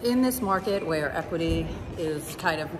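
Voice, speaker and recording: A woman in her thirties answering interview questions in a friendly, measured way with occasional pauses, recorded on a phone held selfie-style in a large indoor lobby. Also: low voice, lively intonation, over a noisy crowd. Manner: friendly, measured, a bit halting. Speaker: guest, banker. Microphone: host's phone held selfie-style. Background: background music.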